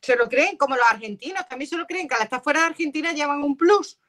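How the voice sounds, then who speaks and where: A woman's voice: high-pitched, quick pace, fairly bright tone. A woman in her forties giving emphatic, opinionated commentary in a casual debate, repeating herself, on a video call from home. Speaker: online show panelist.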